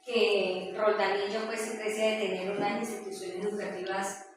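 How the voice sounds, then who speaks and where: A woman with a Colombian accent giving an informal presentation, telling stories in a halting, conversational way. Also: mid-range voice, level tone, over music. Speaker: presenter sharing her hometown memories. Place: echoey indoor room with a small audience. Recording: very echoey room, distant mic, faint noise.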